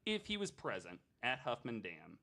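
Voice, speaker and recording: A man's voice: mid-range voice, huge pitch swings, moderate pace, vocal fry, pushed and bright. A man hosting a podcast, telling a true crime story in a casual, chatty way with joking asides.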